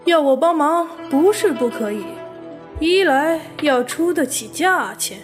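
A woman playing a shrewd, composed young gentleman detective in a period audio drama, with wry delivery. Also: high voice, lively intonation, measured pace. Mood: cool, aloof. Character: cool, shrewd young gentleman sleuth of ancient China. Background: faint music.

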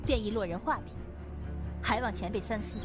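A woman's voice: mid-range voice, moderate pace, music in the background, close to an everyday voice. A woman playing a young, principled swordswoman who speaks politely, formally and earnestly in a wuxia radio drama. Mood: firm, courteous. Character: courteous, principled, bold young female swordswoman healer.

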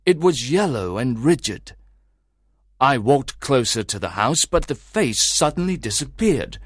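A male actor plays an anxious husband recounting a mystery in a dramatised story. His delivery swings expressively in pitch and comes in clear, deliberate phrases. The sound is dry, with no room around it. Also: very animated, no room sound. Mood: uneasy, recounting. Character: worried, suspicious husband recounting his troubling story to a detective. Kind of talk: dramatised audiobook narration.

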